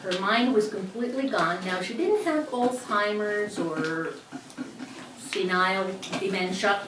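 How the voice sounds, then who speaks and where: A woman's voice: medium pitch, a little slow, recorded from a distance. A middle-aged woman with a Cuban accent walks through a sewing demonstration, chatty and prone to restarting her sentences. She sits back from the microphone in an echoey room, with a faint hum of ambience behind her. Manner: chatty, explains step by step with many restarts. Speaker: sewing instructor. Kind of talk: hands-on teaching demonstration.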